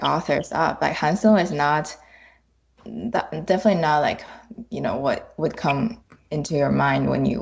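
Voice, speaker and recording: A woman guest on a podcast, chatting casually and haltingly as she searches for words. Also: low-pitched, gravelly, a little mellow, poor audio. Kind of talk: casual conversation.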